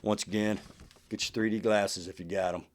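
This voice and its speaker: A man's voice: on the low side, steady tone, moderate pace, slight background noise. A man talking casually to camera as the host of a collection show, in a laid-back, conversational way with "man" and "you know" sprinkled in.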